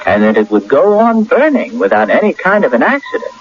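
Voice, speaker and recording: A man's voice: sits high, huge pitch swings, brisk, vocal fry, slight background noise, heavily acted. An actor playing a polite, self-assured skeptical everyman in an old radio drama, talking conversationally. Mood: cocky, skeptical. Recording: dull lo-fi radio recording with music.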